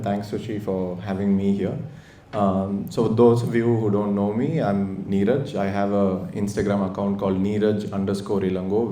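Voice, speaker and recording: A man's voice: on the low side, dark tone, slight background noise. Young man on a panel, echoey hall. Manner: hesitant, many fillers. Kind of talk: panel introduction. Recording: echoey hall, faint ambience.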